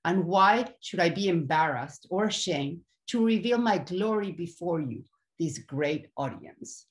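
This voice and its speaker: A proud, regal allegory of Wisdom , acted by a woman, declaiming a stage monologue. The delivery is grandiose and highly animated, in a lowish female voice. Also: measured pace. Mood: proud, declamatory. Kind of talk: theatrical monologue from a translated Renaissance comedy.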